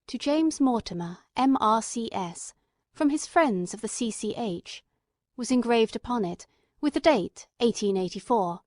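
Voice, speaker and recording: A woman's voice: medium pitch, darkish tone, no room sound, studio quality, lightly acted. A woman playing an earnest, eager male doctor and companion who narrates a detective audiobook in careful, literate storytelling.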